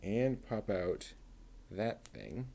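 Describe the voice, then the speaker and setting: A man's voice: on the low side, big pitch swings, creaky, warm tone. A man hosting a livestream, chatting casually and thinking aloud while he assembles a model kit. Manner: unhurried, thinking aloud, muttering to himself.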